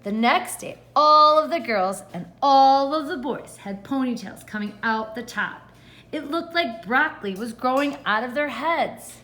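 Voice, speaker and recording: A woman's voice: sits high, lively intonation, measured pace, pushed and bright. A woman kindergarten teacher reading a picture book aloud expressively and putting questions to the children. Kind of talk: read-aloud lesson for young children.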